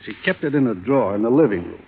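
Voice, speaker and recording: An actor playing a wealthy, pushy murder suspect who keeps offering money, in 1950s radio drama style. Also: male voice, expressive, rapid-fire, husky. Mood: pleading. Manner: low, bargaining, offers money. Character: rich, pushy murder suspect, middle-aged man. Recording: old lo-fi broadcast, phone-like.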